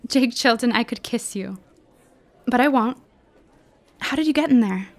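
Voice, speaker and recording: A woman's voice: fairly high pitch, normal speed, close to an everyday voice. An actress playing an inquisitive teenage girl sleuth in a period audio drama, precise and a little mock-formal. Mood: excited.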